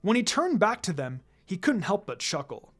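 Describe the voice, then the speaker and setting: A narrator reading fan fiction aloud in an expressive, scripted storytelling style. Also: medium pitch, normal speed. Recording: background music under the voice. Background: music.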